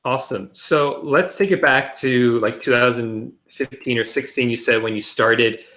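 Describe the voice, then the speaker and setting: A man's voice: mid-range voice, moderate pace, sharp tone, poor audio. A man hosting an interview, speaking fluently in an upbeat presenter style. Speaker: podcast host.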